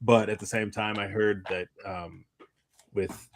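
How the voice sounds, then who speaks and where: A man with a fairly low voice talks casually as a podcast co-host. He rambles in a halting way, often dropping a sentence midway and starting it again. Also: flat delivery, a little hiss or hum. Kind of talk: casual chat.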